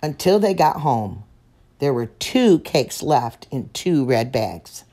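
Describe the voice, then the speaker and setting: A woman reading a children's picture book aloud in a slow, gentle and expressive way. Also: extremely low pitch. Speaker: storyteller.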